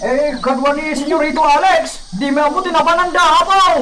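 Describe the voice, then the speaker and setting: Man acting an excitable high-pitched farm worker. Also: a little fast, rough recording. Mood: indignant. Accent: Ilocano. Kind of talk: Ilocano radio drama acting.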